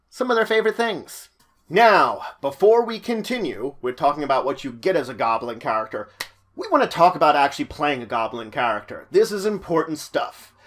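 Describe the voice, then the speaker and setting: A man presenting a tabletop roleplaying guide in an informal, semi-scripted explanatory way, halting and correcting himself at times. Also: on the high side, fairly bright tone.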